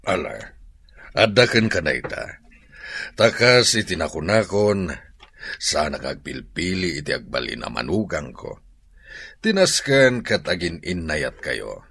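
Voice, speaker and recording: Husky, deep older father. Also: big pitch swings, measured pace, pauses often, sharp tone, no room sound, exaggerated voice. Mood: firm, approving. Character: older father, firm but approving. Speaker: radio drama actor. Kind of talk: radio drama acting.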